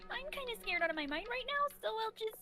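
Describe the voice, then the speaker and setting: Woman voicing a very high, bubbly schoolgirl. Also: fast, loud music behind the voice. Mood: curious, nervous. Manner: excitable, chirpy. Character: bubbly, skittish teenage schoolgirl in a mystery game. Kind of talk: amateur character dub of a visual novel.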